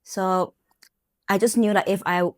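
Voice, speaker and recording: A woman's voice: mid-range voice, fairly even intonation, unhurried, no room sound, clean studio sound. A young woman from Taiwan with a Taiwanese accent, whose first language is Mandarin, talking casually to camera in a chatty way with many fillers and small grammar slips. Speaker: software engineer and vlogger.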